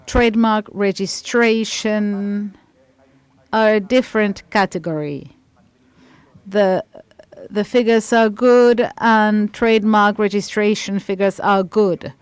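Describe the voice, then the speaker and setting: A woman working as a simultaneous interpreter for a conference panel, her voice smooth and clean. She speaks into a close microphone with a dry, dead sound and no room around her. Her delivery is halting at times, with quick restarts as she keeps up with the speaker. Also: mid-range voice, steady tone, dry recording. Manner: halting, with frequent restarts while keeping up.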